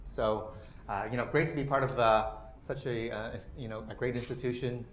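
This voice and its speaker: A man's voice: mid-range voice, fairly even intonation, normal speed. Man in his forties, lecture presenter, casual filler-laden delivery, roomy hall sound. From Canada. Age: 40s. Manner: loose, full of "you know" and "uh" fillers. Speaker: guest speaker. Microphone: microphone.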